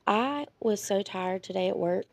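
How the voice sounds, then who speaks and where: A woman's voice: mid-range voice, moderate pace, darkish tone, no room sound. A woman in her thirties, sick with chest congestion, chatting casually as a podcast co-host and rambling through details. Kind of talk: casual chat.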